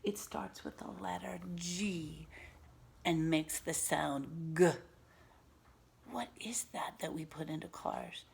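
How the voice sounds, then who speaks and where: A woman teacher reading a picture book aloud to young children, pausing to explain words and ask simple questions in an instructive way. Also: deep voice, creaky.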